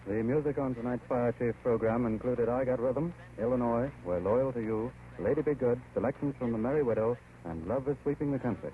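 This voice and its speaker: A man's voice: mid-range voice, brisk. An American man announcing formally for a 1930s radio network. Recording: scratchy, tinny 1930s radio broadcast.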